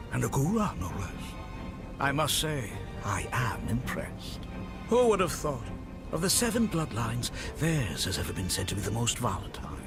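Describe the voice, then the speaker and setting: Theatrical villain, swooping, gravelly. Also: male voice, mid-range voice. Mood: mocking admiration. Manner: theatrical, pompous, drawn-out flourishes. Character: flamboyant theatrical male villain, grandiose zealous schemer. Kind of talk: video game cutscene acting. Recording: loud music behind the voice.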